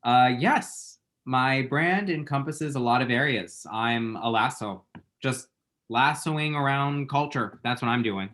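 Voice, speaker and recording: A man playing a flustered, lovesick young man at a comedy screenplay read, halting and awkward. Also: medium pitch, a bit bright, close to an everyday voice. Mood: nervous, showing off. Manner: halting, awkward, self-mocking.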